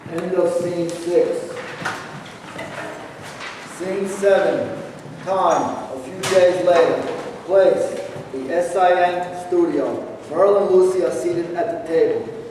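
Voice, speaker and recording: Male stage-directions reader, deliberate scripted delivery, high for a man, strong echo and distant pickup. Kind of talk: scripted narration at a staged reading. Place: live staged reading in reverberant room. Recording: echoey hall, distant mic, faint noise.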